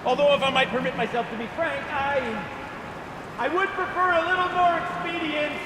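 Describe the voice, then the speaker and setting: An actor playing an eccentric, cheerful, chatty explorer in a video game, with a theatrical, wordy, rambling manner. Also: male voice, extremely high pitch, flat delivery, normal speed, echoey room, noisy background. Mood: rueful, chatty. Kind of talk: video game character dialogue.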